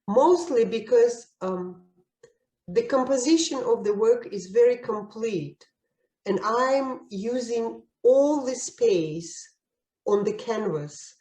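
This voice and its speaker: A woman with a Russian accent answering interview questions thoughtfully, pausing and restarting often. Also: fairly high pitch, animated, mellow, roomy sound, rough recording. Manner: thoughtful, hesitant with frequent fillers and restarts. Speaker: painter interviewee.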